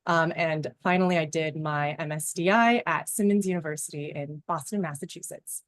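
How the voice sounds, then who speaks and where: A woman from Los Angeles speaking as a dietitian on an online panel, bright and enthusiastic. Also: sits low, normal speed. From Los Angeles, California. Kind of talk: panel discussion on video call. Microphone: video call.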